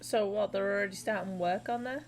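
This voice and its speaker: A woman's voice: medium pitch, brisk, a little hiss or hum. A woman live streamer giving casual, off-the-cuff commentary on a game to chat.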